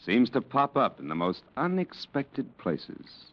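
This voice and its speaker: A man's voice: mid-range voice, very animated, a little slow. An actor playing a cynical schemer in a 1940s radio mystery, talking in dry, sarcastic, clipped tough-guy phrases. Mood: falsely cheerful. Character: Norman, cynical, sardonic noir schemer. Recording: muffled old radio broadcast, organ music behind.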